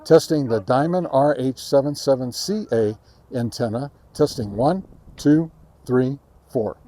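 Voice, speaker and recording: Halting man talking through a field test. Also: mid-range voice, warm tone. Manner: halting, hesitant delivery. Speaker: host of a radio gear review channel. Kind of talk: casual field-test commentary. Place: outdoors in a park.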